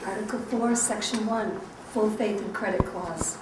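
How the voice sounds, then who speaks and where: A woman's voice: medium pitch, unhurried. A woman formally reading a legal document aloud at a public event. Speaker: school curriculum planner reading aloud. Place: reverberant room at a public event. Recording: echoey hall, distant mic, clear noise.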